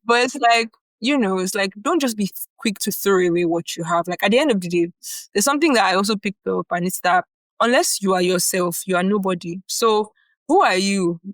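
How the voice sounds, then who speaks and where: A woman's voice: mid-range voice, brisk, dry recording. Young nigerian woman on a podcast, nigerian accent, laughs a lot, says like and you know constantly, dry close mic. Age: young adult. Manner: animated, laughs often, lots of "like" and "you know". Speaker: podcast co-host. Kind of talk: casual chat.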